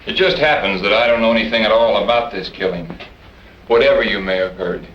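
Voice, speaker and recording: Man playing a wry, low-voiced evasive westerner. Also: brisk, a little hiss or hum, close to an everyday voice. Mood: evasive, dry. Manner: dry, sardonic. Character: wry, evasive husband refusing to testify. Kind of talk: old time radio western acting. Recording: muffled, echoing old radio recording.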